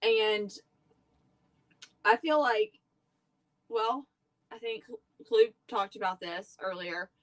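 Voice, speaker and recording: A woman with a mid-pitched voice, recorded dry. She chats casually as part of a live panel, feeling her way through sentences and rephrasing. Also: animated, takes it slowly, pauses often, no room sound, poor audio. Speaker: stream panelist. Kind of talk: casual group chat.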